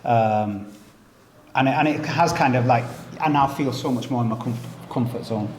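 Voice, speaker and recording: A man's voice: mid-range voice, moderate pace, darkish tone. A man who teaches jiu-jitsu, chatting casually, laughing often and repeating words as he tells stories. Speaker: jiu-jitsu professor and academy co-owner. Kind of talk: casual chat. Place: inside a martial arts gym. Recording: echoey room with faint noise.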